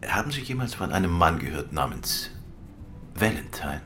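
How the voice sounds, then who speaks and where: An actor playing a composed, dryly persistent gentleman detective in a radio crime drama, measured and pointed in his questioning. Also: sits low, sing-song, slight background noise, full character voice. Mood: firm, accusing.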